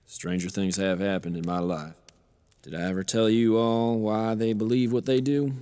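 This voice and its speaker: Man playing a deep-voiced, calculating warlord general. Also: flat delivery, warm tone, clear, resonant tone, natural voice. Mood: coolly assured. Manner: measured, matter-of-fact, assured. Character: calm, calculating male warlord general commanding orcs. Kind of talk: fantasy audio drama acting. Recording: long reverberant hall sound.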